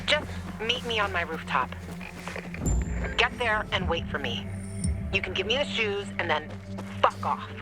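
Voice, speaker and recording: A tough, guarded young woman from a video game, voiced by an actress. She is curt and blunt, with a sharp tongue and little patience for softness. Music is clearly audible behind her. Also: medium pitch, lively intonation, a little slow, pauses often, close to an everyday voice. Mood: bitterly angry. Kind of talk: naturalistic video game acting.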